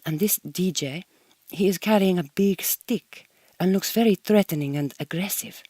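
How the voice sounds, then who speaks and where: A woman's voice: on the low side, moderate pace, warm tone, no echo, lightly acted. An actress playing a proud, homesick Hungarian migrant woman in a radio drama, speaking a diary in slightly stilted, formal English with a Hungarian accent. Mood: offended, disdainful. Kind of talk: recorded audio diary monologue in a radio drama.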